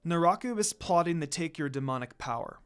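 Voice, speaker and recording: A man's voice: a little high, expressive, brisk, clear, resonant tone, close to an everyday voice. Undead priestess for a fantasy story reading, played by a man: stern, ominous, full of cold loathing. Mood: stern warning. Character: cold undead priestess woman, hateful and warning.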